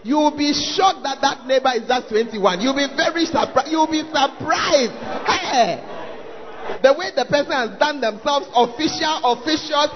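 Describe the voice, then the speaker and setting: A man's voice: extremely high pitch, normal speed, in a large hall, muffled recording, music in the background. A man with a Ghanaian accent preaching a sermon, prodding the congregation to answer him and talk to their neighbours. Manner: calls out to the audience, prods them to respond. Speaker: pastor. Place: large church hall.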